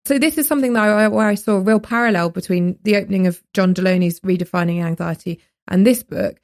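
Woman podcast host, chatty, laughs a lot, mid-pitched everyday voice. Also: fast talker. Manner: chatty, laughs often, quotes her own thoughts aloud. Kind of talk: casual book discussion chat.

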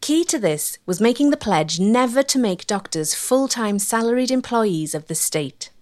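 A woman's voice: a little high, lively intonation, a little mellow. Woman narrating a history documentary. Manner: measured, articulate.

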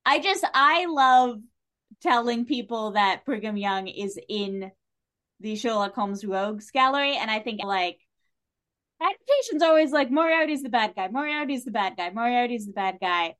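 A woman chatting casually as a podcast guest, with an animated, jokey way of talking. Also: a little high, moderate pace. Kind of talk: casual chat.

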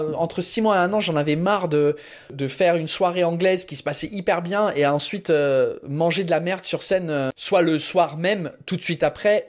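A man in his thirties, a comedian speaking a language that is not his first, answering interview questions casually with many fillers and restarts. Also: a little high. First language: not French. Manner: hesitant, many fillers and restarts, drops English phrases. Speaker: stand-up comedian, guest.

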